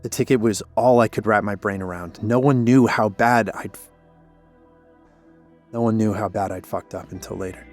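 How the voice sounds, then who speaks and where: Man acting a low-voiced, guilt-ridden former park warden. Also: normal speed, soft background music, lightly acted. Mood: defensive, frustrated. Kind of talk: cinematic audio drama acting, naturalistic.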